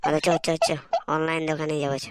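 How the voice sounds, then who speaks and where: A performer voicing an excitable, grumbling village student lad in a comedy cartoon, exclaiming in a rural Purulia Bengali accent. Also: mid-range voice, fast, smooth, dry recording, natural voice. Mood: excited.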